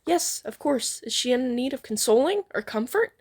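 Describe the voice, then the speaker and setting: A woman's voice: high voice, expressive, moderate pace, darkish tone, slightly rough. A woman voicing an earnest, formal, concerned teenage boy student in a story reading.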